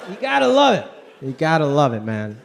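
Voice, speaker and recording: A young man from Hong Kong, a native Cantonese speaker, doing an animated stand-up comedy set on a club stage with a handheld mic. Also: fairly quick, gravelly, a little hiss or hum. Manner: animated, swings into loud high shouting for bits. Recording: live club crowd audible.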